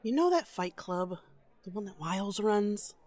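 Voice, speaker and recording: A woman's voice: mid-range voice, animated, a little slow, darkish tone. A woman acting in an audio drama as a wary, weary former captive with a hesitant, guarded way of speaking. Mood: cautious.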